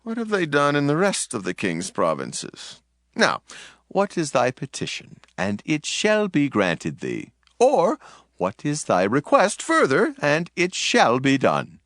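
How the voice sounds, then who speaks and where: An actor playing a commanding ancient Persian king in a dramatized scripture reading, speaking in a measured, stately way. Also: fairly high pitch, huge pitch swings, fairly bright tone, no room sound, clean studio sound, heavily acted.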